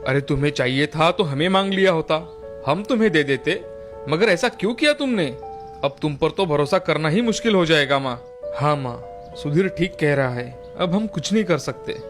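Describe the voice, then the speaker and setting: A man's voice: fairly high pitch, expressive, moderate pace, over music, close to an everyday voice. A man voicing a dismayed grown son in a cartoon family story.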